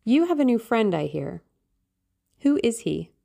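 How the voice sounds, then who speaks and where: Woman acting a sullen young lad, blunt, suspicious, protective, creaky, storybook character. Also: on the high side, sing-song, measured pace, mellow, voice pushed up, heavily acted. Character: sulky, morose, protective teenage brother.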